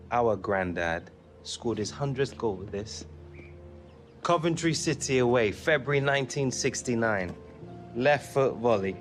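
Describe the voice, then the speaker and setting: Sincere young English footballer, lively pitch. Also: male voice. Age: young adult. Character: young male footballer, sincere, encouraging, modest. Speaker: actor in a football game's story mode. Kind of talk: naturalistic cinematic game dialogue.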